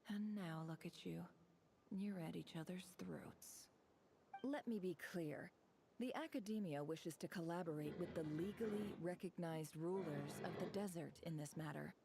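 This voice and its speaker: A woman voicing a ruthless, bitter desert tribeswoman in a video game, speaking in a cold, deliberate, contemptuous way. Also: sits low, creaky, mellow, a little hiss or hum. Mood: cool, calculating. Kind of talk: dramatic video game voice acting.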